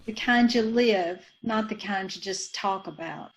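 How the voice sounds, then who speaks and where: A woman's voice: medium pitch, unhurried, slight background noise, natural voice, poor audio. A woman playing a plainspoken woman witness. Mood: recounting. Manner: plain, conversational storytelling with slangy phrasing. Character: woman witness telling police about a troubled friend. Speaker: radio drama actor. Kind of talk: old-time radio drama reenactment.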